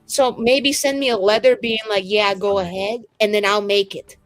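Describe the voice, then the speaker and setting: A woman's voice: medium pitch, fairly even intonation, normal speed, no room sound, natural voice. A woman acts out a brash young heroine of a fantasy tabletop game. She is blunt and affectionate, chatting in rambling, sweary slang. Music runs underneath. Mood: coaxing.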